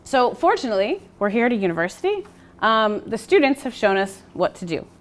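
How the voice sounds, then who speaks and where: A woman's voice: a little high, very animated, a little fast. An American woman presenting a scientific seminar, explaining steadily with fillers and self-corrections. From United States. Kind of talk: academic presentation.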